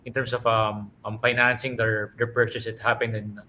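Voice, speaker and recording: A man's voice: mid-range voice, flat delivery, moderate pace, pushed and bright. A man answering investor questions as a company executive. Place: virtual call. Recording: noisy virtual call audio.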